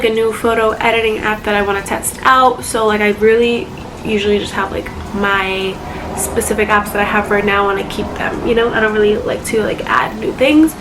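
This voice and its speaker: A woman's voice: mid-range voice, noisy background. A young woman vlogging casually about her phone apps, chatty and full of fillers, her voice slightly congested. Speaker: YouTuber. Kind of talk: casual phone tour vlog.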